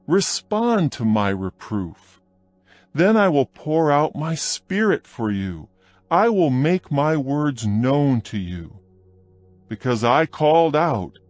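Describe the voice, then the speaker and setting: A man narrating scripture as a dramatized audiobook, solemn and deliberate, with emphatic delivery. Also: medium pitch, dry recording.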